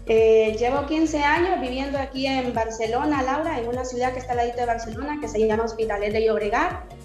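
A woman's voice: fairly high pitch, fairly quick. Honduran woman on an echoey video call. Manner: thoughtful, many hesitant fillers and restarts. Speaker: author and poet, guest. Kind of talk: remote interview. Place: indoor room at home. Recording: roomy video call with faint music.

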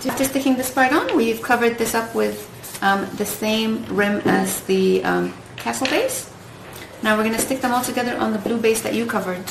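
Woman doing casual craft tutorial, bit of fry in her voice, noisy echoey room. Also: on the high side, moderate pace. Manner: encouraging, praising. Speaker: craft tutorial host and mother. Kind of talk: casual step-by-step craft instruction to camera and child. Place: indoors at a home dining table. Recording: roomy echo, clear background noise and clicks.

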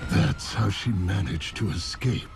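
An actor playing a murderous, obsessive clown killer who gloats in slow, menacing narration. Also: male voice, very noisy. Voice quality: low gravelly drawl. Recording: loud music score behind.

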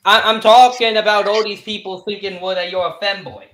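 A man's voice: high-pitched, steady tone, fairly quick, a bit bright. A man from Texas in casual chat on a live stream call, rambling with lots of fillers. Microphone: video call. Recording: echoey room on a call.

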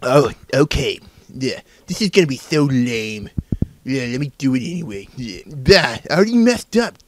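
A man's voice: medium pitch, very animated, moderate pace, a little hiss or hum, natural voice. A man acting a grumbling diva actor. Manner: grumbling, sarcastic. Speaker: fan voice actor. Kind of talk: fan dub bloopers.

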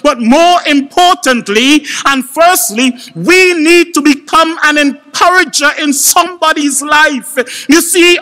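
A man's voice: very high voice, bright, forceful tone. A middle-aged man preaching a sermon at a church podium, impassioned and emphatic. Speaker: pastor. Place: church sanctuary with congregation. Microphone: podium microphone. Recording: long church reverb.

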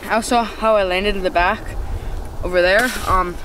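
A young man talks casually to his camera outdoors, rambling and filling pauses with um and like. His voice sits fairly high. The audio is noisy, picked up by the camera's own mic outside. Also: steady tone. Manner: rambling with many ums and likes. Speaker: vlogger. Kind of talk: casual outdoor vlog narration. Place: snowy backyard hillside outdoors. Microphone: camera mic, handheld or far camera.

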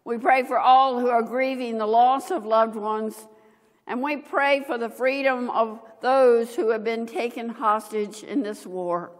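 An older woman, a pastor, reading prayers and liturgy in a solemn, measured manner, phrase by phrase, at a church lectern. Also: fairly high pitch, steady tone. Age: older, 70s. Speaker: pastor leading worship. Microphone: gooseneck lectern microphone and clip-on. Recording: reverberant church hall.

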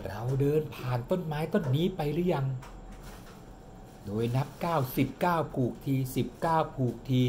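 A man's voice: fairly high pitch, slight background noise. A man in his forties improvising a ghost story for his young daughter at home, dramatic and drawn out for suspense. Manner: animated, dramatic storyteller who slows down for suspense. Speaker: father telling a bedtime-style ghost story to his daughter. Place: home living room, indoors. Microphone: camera on the table in front, close.

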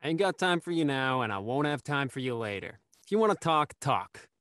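A man acts out an embittered gardener back from war in a radio mystery. He is curt and blunt in a mid-pitched voice, recorded very dry. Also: very animated, brisk, a bit bright, no echo. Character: bitter, gruff working-class gardener and war veteran. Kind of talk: radio mystery acting, live online table read.

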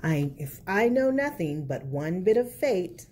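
A woman's voice: lowish voice, sing-song, a little slow, a little mellow, slightly rough. A woman reading a picture book aloud as a storyteller, expressively and for young children. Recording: faint background noise.

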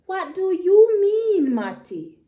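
A woman's voice: very high-pitched, sing-song, mellow, pitched above the performer's own voice, full character voice. A woman voicing a wistful young rat in a children's storybook, dreamy and rhyming. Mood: eager, puzzled. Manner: dreamy, slow, rhyming delivery.

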